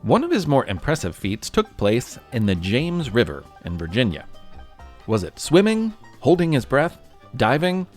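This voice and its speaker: A man's voice: mid-range voice, wildly swooping pitch, moderate pace, soft background music. A man with an American accent narrating a scripted history podcast as host, telling it like a storyteller to young listeners.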